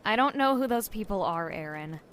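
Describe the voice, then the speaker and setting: A woman's voice: medium pitch, animated, brisk, fairly bright tone, smooth, natural voice. An actress playing a wry, hardworking young woman investigator in an audio drama, casual and dry. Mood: weary.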